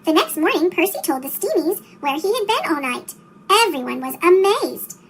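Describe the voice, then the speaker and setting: A woman's voice: extremely high pitch, sing-song, moderate pace, slight background noise. A woman reading a children's story aloud, her voice turned into a sped-up, childlike chipmunk sound. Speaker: storybook reader.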